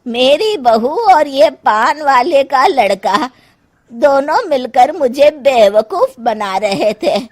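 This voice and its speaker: A woman plays a wily, put-upon older mother-in-law in a comic cartoon. She grumbles sarcastically, drawing her words out very slowly with big, lively pitch swings. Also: exaggerated voice. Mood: slyly menacing. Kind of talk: Hindi cartoon comedy acting.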